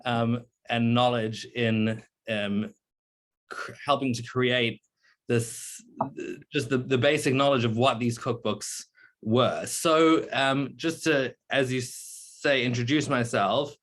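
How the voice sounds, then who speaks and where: A young man, a PhD candidate, giving a halting presentation on a video call with many fillers. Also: medium pitch, steady tone, a bit bright. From born in South Africa, raised in New Zealand. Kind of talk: online meeting presentation.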